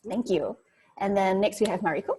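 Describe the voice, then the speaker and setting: Husky-voiced woman moderating a panel. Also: medium pitch, brisk, warm tone. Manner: friendly, uses fillers. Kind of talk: online panel discussion.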